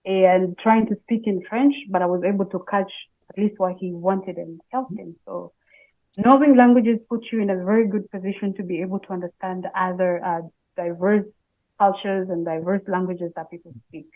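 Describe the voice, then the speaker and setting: A woman's voice: mid-range voice, steady tone, moderate pace. A woman from Tanzania with a Tanzanian accent, a native Swahili speaker, answering interview questions conversationally as a language teacher. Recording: muffled, phone-like band-limited call audio.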